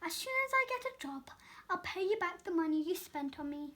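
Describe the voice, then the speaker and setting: Woman voicing an excitable, high-pitched little doughnut fellow. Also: brisk, exaggerated voice. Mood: downcast. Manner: emphatic, excitable. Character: eager, dramatic little talking male doughnut. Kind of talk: storybook read-aloud character voice.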